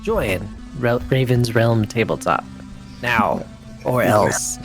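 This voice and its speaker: A man's voice: medium pitch, expressive, takes it slowly, soft background music. A man chatting casually as a player on a tabletop podcast. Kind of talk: casual chat.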